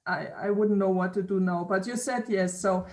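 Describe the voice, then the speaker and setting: A woman interviewer on a video call who speaks haltingly, repeating words and laughing often. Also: medium pitch, steady tone, a little mellow. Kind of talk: casual conversation.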